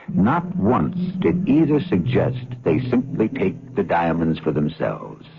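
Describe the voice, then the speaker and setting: A man hosting a radio mystery drama, giving a solemn, measured moral reflection in theatrical narration. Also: extremely low pitch, creaky. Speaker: host. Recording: dull old radio broadcast with music.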